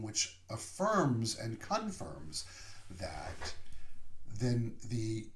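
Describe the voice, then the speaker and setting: A man giving a slow, reflective sermon as a minister, pausing often between phrases. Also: mid-range voice. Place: room, via online service. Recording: roomy echo.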